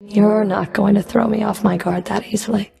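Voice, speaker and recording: A woman's voice: mid-range voice, flat delivery, normal speed, gravelly, dark tone. A woman playing a stern, scornful underworld fury in a video game, with a cold, sardonic, taunting delivery. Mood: weary, sardonic. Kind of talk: scripted video game character dialogue.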